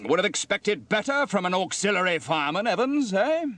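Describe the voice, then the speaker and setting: A man's voice: sits high, very animated, fairly quick, a bit bright, dry recording, pitched above the performer's own voice. Man doing a stern, barking fire station officer. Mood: disapproving. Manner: barking, commanding.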